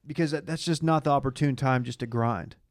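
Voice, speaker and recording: A man's voice: medium pitch, fairly quick, no room sound, clean studio sound. An American man co-hosting a casual podcast recap, bantering in a joking, teasing way. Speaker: podcast co-host.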